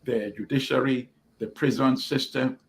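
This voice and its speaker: Gravelly man arguing a point. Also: mid-range voice, fairly even intonation, normal speed. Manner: reflective, argues with "you know". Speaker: panel guest. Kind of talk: panel discussion.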